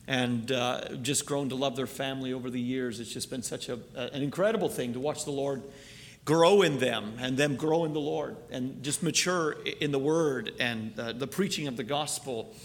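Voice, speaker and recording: A middle-aged man raised in Canada, a missionary preacher opening a sermon in a church, earnest and halting, with many fillers. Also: mid-range voice, in a large hall, a little hiss or hum. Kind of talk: sermon introduction. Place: church sanctuary.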